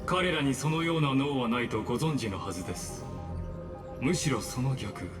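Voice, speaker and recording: An actor playing a courteous, coolly scheming villain in a Japanese video game, formal and insinuating. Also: male voice, mid-range voice, lively intonation, quick pace, natural voice. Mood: knowing, measured. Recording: long reverb under loud game music.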